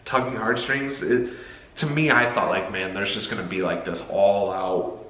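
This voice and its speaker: A man with a fairly low but bright, forward voice talks casually and opinionatedly. His speech is loose and conversational, full of filler words. The room echoes around him. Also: steady tone, moderate pace. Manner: opinionated, filler-heavy with frequent "like". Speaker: co-host of a family video channel. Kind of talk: casual chat reviewing a film. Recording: roomy echo, faint background noise.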